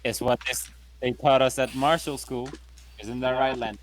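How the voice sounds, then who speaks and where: A man takes part in a tabletop role-playing game as a player. He banters casually in a voice of ordinary male pitch. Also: fairly even intonation, moderate pace, a crowd in the background.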